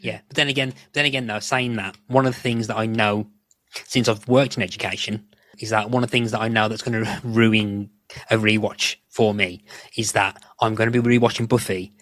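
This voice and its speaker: Male podcast guest, low voice, close dry sound, loose rambling conversational delivery with casual swearing. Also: fairly even intonation, brisk, fairly bright tone, no room sound.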